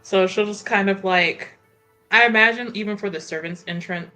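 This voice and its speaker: A woman improvising casually in a tabletop roleplaying game, thinking aloud in an informal, animated way. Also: medium pitch, normal speed, faint music behind, low-quality recording.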